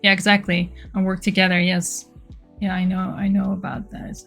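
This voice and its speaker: A woman's voice: medium pitch, monotone, moderate pace, vocal fry, fairly bright tone, soft background music. A woman with a Dutch accent, chatting casually on a livestream while she works, reading chat aloud with frequent laughs and restarts. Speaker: live streamer.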